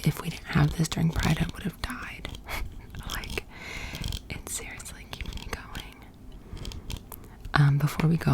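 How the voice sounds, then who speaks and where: American girl doing asmr, low whispery voice, super casual and chatty. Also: poor audio. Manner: casual, chatty, informal with swearing. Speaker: ASMR creator. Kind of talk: soft-spoken whispered ASMR roleplay.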